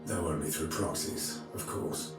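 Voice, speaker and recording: An actor playing a righteous, resolute knight lord, earnest and commanding, in a game cutscene. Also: male voice, extremely low pitch, unhurried. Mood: grave. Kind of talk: dramatic video game cutscene acting. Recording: distant, very echoey, faint music behind.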